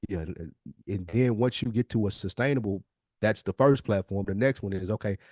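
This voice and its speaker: Lowish-voiced man giving casual, emphatic advice. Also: fairly quick, a little mellow, no room sound, studio quality. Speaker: podcast co-host.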